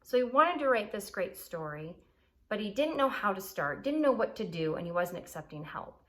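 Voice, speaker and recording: A woman's voice: medium pitch, big pitch swings, normal speed. A woman reading a children's story aloud expressively and explaining it simply as a teacher would.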